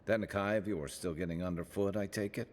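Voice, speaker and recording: An actor playing a cheeky, scaly adventurer in a fantasy video game, bantering wryly with an old friend. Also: male voice, sits low, fairly even intonation, brisk, close to an everyday voice. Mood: teasing. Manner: bantering, wry.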